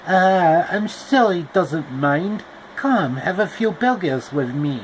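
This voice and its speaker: A man voicing a showy male magician who drops French phrases into slow, theatrical talk. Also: sits high, animated, a little hiss or hum, pitched above the performer's own voice, low-quality recording. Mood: grandiose.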